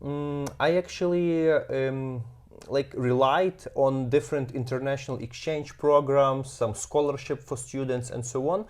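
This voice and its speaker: A man in his thirties from Ukraine with a Ukrainian accent, speaking as an interview guest and often pausing with fillers while he searches for words. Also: medium pitch, fairly even intonation, a little mellow. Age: 30s. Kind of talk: casual interview.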